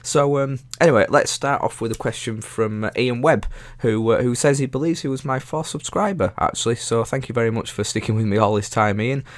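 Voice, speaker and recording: A man's voice: mid-range voice, fairly quick, no echo. A man in his twenties, sniffly from hay fever, chatting casually and rambling as he answers viewer questions. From England. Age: 20s. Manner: rambling, self-correcting, chatty. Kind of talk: casual Q&A chat.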